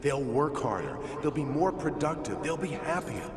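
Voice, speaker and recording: A man's voice: fairly high pitch, animated, normal speed, crowd noise, natural voice. A man playing a confident, practical young space cadet in an English anime dub, recorded with a reverberant tail. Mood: hopeful. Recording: reverberant sound, long echoing tail.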